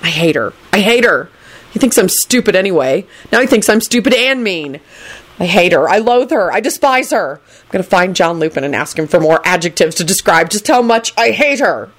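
A woman's voice: mid-range voice, steady tone, very slow, long pauses, dark tone, voice pushed up. A woman voicing a sullen, flustered young man in an audiobook, resentful, exasperated and dramatic. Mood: desperate, angry. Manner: muttering, blurting, exasperated.

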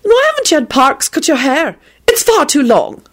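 A woman's voice: extremely high pitch, sing-song, normal speed, voice pushed up. A woman voicing an irritable, disapproving, fussy female secretary in an audiobook, with a prim, suspicious manner. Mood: irritated, suspicious.

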